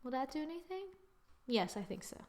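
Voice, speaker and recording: A woman with a slightly high voice talks through a screen-recorded software tutorial at her computer. She thinks aloud, corrects herself often and tosses in small exclamations as she works. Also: very animated, fairly quick. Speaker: tutorial host.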